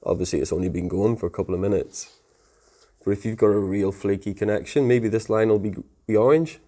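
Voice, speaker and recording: A man's voice: fairly low pitch, brisk, warm tone. A man giving a casual technical tutorial in an informal, plain-spoken, conversational way. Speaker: technical presenter explaining network setup.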